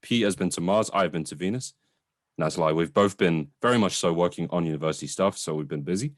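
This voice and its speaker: Fast-talking young man with a deep voice. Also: no echo, clean studio sound. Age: young adult. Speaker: podcast host. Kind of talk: casual review chat.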